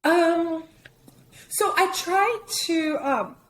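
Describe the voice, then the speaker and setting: A woman's voice: very high-pitched, drawn-out words, a bit bright. A woman from Brazil whose first language is Portuguese, speaking with a Brazilian Portuguese accent as a guest in a casual interview, chatty, with many fillers and restarts. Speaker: guest photographer.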